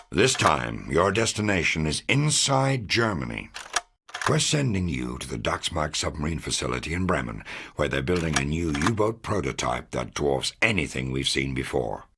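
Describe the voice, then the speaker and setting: A man playing a commanding, confident American intelligence officer, delivering a measured, authoritative mission briefing in a video game. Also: very deep voice, gravelly, background noise, heavily acted. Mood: stern, urgent. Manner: authoritative, measured, matter-of-fact. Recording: music under the voice. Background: background music.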